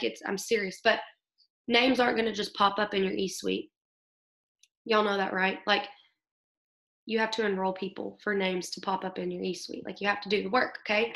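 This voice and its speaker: A young woman with a hoarse, worn voice coaches her team through an upbeat pep talk, checking in with them every few sentences. Her voice sits a little high but grates with fry. The call sounds like it was picked up in an echoey room. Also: a bit bright. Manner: upbeat, coaching, keeps asking "okay?". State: losing her voice from illness. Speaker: team leader. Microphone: video call.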